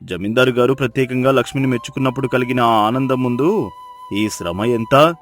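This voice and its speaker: Devoted village husband, played by a man, medium-pitched, earnest and tender, storybook drama with faint music. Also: brisk, close to an everyday voice. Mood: admiring.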